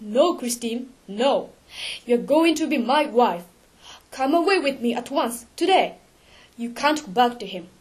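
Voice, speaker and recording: Woman playing an ardent, high-voiced young suitor. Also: unhurried, few pauses, darkish tone, slightly rough. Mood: insistent pleading. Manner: insistent, impassioned. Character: ardent, anxious young man in love.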